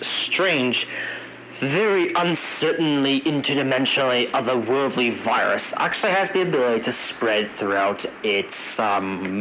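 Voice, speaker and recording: A man narrating an analog horror emergency briefing in a halting, wordy way, searching for his words. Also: medium pitch, bright, forceful tone, slight background noise, poor audio.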